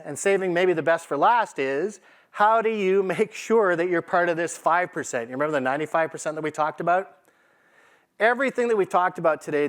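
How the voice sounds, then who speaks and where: A man's voice: fairly high pitch, a bit bright. A man giving an informal business presentation to a live audience in a conversational, emphatic way, often checking in with rhetorical questions. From Canada. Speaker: conference speaker, AI consultant.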